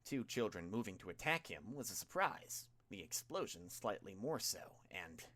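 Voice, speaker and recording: A man's voice: lowish voice, very animated, normal speed, sharp tone. A man narrating a scripted story as an audiobook reader. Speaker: audiobook reader of fan fiction.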